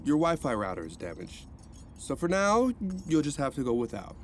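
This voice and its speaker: An actor playing a cheerful male counselor who talks in an animated, rambling way. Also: mid-range voice, lightly acted. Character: cheerful male rehab counselor, upbeat and chatty.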